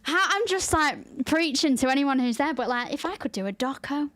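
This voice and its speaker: A woman's voice: high-pitched, expressive, normal speed, fairly bright tone, dry recording. A woman with a Lancashire accent, hosting a podcast in open, chatty talk and laughing easily. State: tired after a 24-hour stream. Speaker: podcast host. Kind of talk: casual chat.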